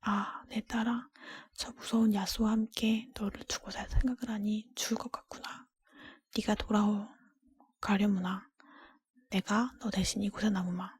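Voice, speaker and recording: A woman voicing a loving, sorrowful old merchant father in a storybook, tender and grieving in a breathy whisper. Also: medium pitch, little pitch movement, normal speed, close to an everyday voice. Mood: anguished, loving.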